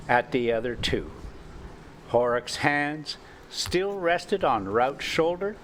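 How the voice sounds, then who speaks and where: An older man reading a classic short story aloud as an amateur storyteller, in halting, broken phrases. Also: medium pitch, a bit bright, a little hiss or hum. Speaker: storyteller and channel host.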